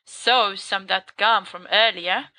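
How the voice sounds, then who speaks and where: A woman's voice: medium pitch, slow, dry recording. A woman voicing a dry, unimpressed teenage boy who answers curtly. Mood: deadpan. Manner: curt, deadpan. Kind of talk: fan audiobook reading.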